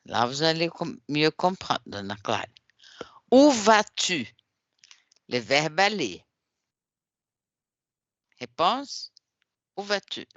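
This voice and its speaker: Slow, lively-voiced Brazilian man teaching French. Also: on the high side, no room sound, muffled recording. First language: Portuguese. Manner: patient, corrective, repeats answers. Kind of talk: language class drilling questions.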